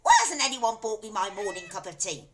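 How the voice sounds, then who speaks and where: A woman voicing a huge, bad-tempered old grandmother who scolds and shouts at her family, in a storybook reading. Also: mid-range voice, lively intonation, fairly quick, voice pushed up, natural voice. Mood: furious scolding.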